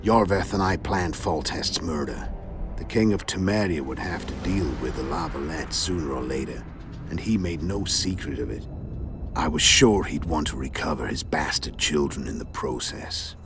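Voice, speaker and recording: An actor playing a world-weary, cunning male assassin in a game cutscene, gravelly and measured, recounting things with dry irony. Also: expressive, noisy background. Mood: calm, wry. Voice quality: very deep, gravelly. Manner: measured, matter-of-fact storytelling with dry irony. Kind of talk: video game cutscene acting. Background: background music.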